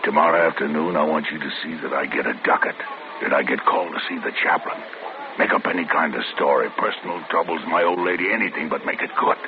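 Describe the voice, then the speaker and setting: A hard old con in a vintage radio play, his voice sitting extremely deep as he coaxes and bullies. The sound is thin and tinny like an old broadcast, with steady hiss underneath. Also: fast talker. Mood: threatening. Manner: low, bullying, coaxing then threatening. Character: menacing older male convict, scheming and threatening. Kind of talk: 1940s radio drama acting.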